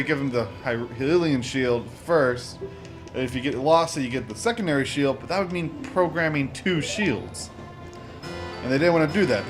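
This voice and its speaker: Rambling young man narrating gameplay. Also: mid-range voice. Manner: rambling, excitable, lots of fillers and self-corrections. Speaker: gaming channel host. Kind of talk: casual let's-play commentary. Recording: game music playing behind voice. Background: video game music.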